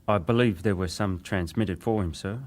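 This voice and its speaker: An actor playing a young British wireless operator giving testimony in a formal, deferential, precise way, as in a dramatized hearing. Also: male voice, sits low, moderate pace, a little mellow, close to an everyday voice.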